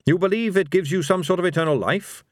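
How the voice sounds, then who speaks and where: A man's voice: high voice, fast, no echo, studio quality, voice pushed up, lightly acted. A man voicing an earnest, literal-minded, upright man who speaks politely and precisely in a storybook reading.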